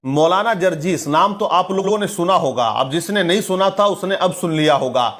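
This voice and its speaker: Male commentator, high-pitched voice, preachy and condemning, opinionated religious news delivery. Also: moderate pace, a bit bright. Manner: preachy, denunciatory, quoting religious sayings. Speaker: commentator and presenter of an online channel.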